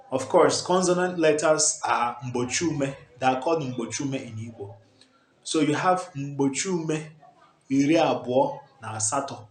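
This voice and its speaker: Slow, emphatic man teaching. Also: medium pitch. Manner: emphatic, repeats points with "okay?" checks. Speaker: language teacher. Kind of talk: whiteboard lesson. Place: small indoor room before a whiteboard. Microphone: camera at close range. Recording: echoey room, faint background hiss.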